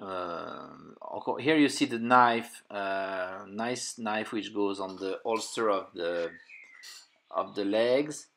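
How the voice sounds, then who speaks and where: A man's voice: on the low side, fairly bright tone. A middle-aged man with a French accent, French his first language, reviewing a toy in casual English with many fillers and restarts while he hunts for words. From France. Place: indoor room full of figures and display cases.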